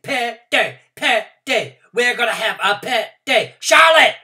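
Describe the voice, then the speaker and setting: A woman voicing a loud, excitable kindergarten girl who shouts and chatters breathlessly. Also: mid-range voice, moderate pace, distant mic, pitched above the performer's own voice. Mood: thrilled shouting. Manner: shrieking, bouncy, breathless exclamations.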